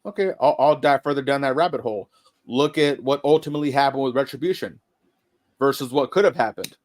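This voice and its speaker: A man in casual podcast chat, measured and reflective, often stammering into his points. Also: mid-range voice. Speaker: podcast co-host.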